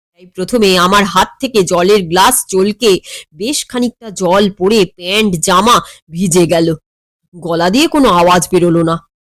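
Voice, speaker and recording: A woman's voice: medium pitch, no echo. A woman narrating a comic story expressively.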